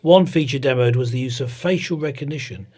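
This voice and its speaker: Husky-voiced man co-hosting a chat show. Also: mid-range voice, fairly quick, darkish tone. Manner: jokey, chatty, reads aloud. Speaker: podcast co-host. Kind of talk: casual chat reading articles.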